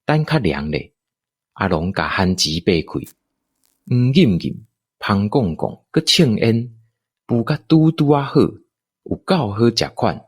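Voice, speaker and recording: A man with a Taiwanese accent narrating a scripted radio drama in expressive storytelling style. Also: medium pitch, measured pace, husky, no room sound, clean studio sound.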